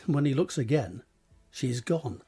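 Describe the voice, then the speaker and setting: A man reading scripted scene directions as a narrator, measured and descriptive, with deliberate pauses. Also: medium pitch, expressive, warm tone, breathy edge, faint music behind.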